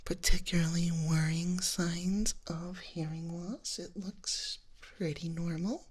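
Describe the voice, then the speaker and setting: A gentle dragon nurse, played by a woman speaks in soft ASMR roleplay. The voice is slightly low and breathy, often dropping to a whisper. The delivery is extremely slow, with a reassuring, clinical bedside manner. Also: fairly even intonation. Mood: calm, reassuring. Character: gentle dragon nurse giving a hearing exam.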